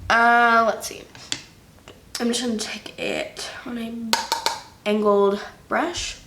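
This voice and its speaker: A woman's voice: fairly high pitch, steady tone. A young woman chatting through a makeup tutorial, slightly nasal from a cold, with lots of fillers. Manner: chatty, filler-heavy, unhurried. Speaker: beauty vlogger. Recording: echoey room with faint noise.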